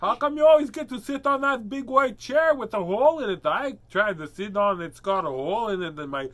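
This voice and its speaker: A man's voice: high-pitched, animated, fairly quick, fairly bright tone, voice pushed up. A man voicing a pushy, nosy, indignant house cat with a silly, blurting, complaining manner. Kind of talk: comic impression in a home read-aloud.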